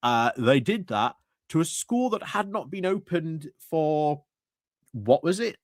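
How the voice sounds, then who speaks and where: A man's voice: on the high side, expressive, takes it slowly, no echo. A man commenting casually, as a streamer would, in a very dry recording with little room sound. His delivery is conversational and hesitant, feeling its way through each point. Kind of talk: casual off-the-cuff political commentary.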